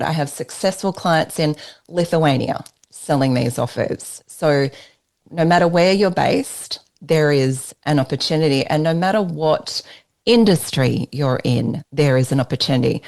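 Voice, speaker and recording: Low, gravelly Australian woman presenter. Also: darkish tone. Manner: emphatic, persuasive sales-pitch delivery. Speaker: business strategist leading a workshop. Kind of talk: live workshop presentation with slides.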